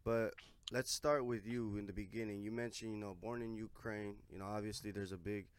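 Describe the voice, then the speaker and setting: A man in his twenties hosting a casual interview podcast, relaxed and full of "you know" fillers. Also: fairly low pitch, a little fast, clear, resonant tone. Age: 20s. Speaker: podcast host.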